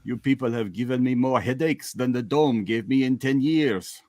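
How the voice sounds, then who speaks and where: A man playing a haughty French police official, measured and reproachful, in an amateur comic book reading. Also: mid-range voice, a little mellow, dry recording, clean studio sound, natural voice. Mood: scolding. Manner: measured, scolding.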